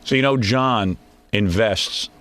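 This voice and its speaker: A man's voice: fairly low pitch, slow, fairly bright tone. A man hosting a radio show with casual banter.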